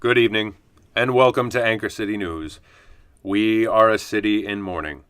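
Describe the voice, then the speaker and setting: A man's voice: on the low side, normal speed, very noisy, natural voice. A man acting a polished, formal local news anchor in a parody newscast for a radio play. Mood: solemn, formal. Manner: formal, announcer-like delivery.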